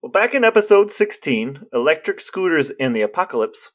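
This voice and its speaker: An American man co-hosting a podcast, explaining things casually in a measured, matter-of-fact way. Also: a little high, very animated, a bit bright. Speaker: podcast co-host.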